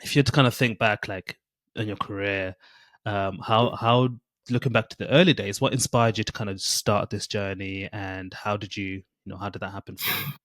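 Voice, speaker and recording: A man hosting an interview, asking questions encouragingly and building them up slowly. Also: lowish voice, steady tone, fairly bright tone, dry recording. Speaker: podcast host. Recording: dry close sound.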